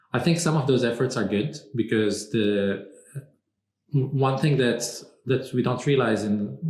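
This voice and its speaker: Low-voiced Moroccan-born man in echoey room. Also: a little mellow. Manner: measured, thoughtful, frequent "uh" pauses. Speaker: podcast guest, strategy consultant. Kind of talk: conversational interview.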